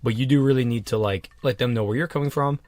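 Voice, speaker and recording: A man's voice: medium pitch, quick pace, a little mellow, no room sound. A man co-hosting a podcast, chatting loosely with restarts and swearing. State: on cold medication. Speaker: podcast co-host. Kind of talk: casual chat.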